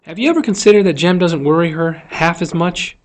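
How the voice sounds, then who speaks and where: A man voicing a stern, measured father in a storybook, firm and flinty. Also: fairly high pitch, voice pushed up, natural voice.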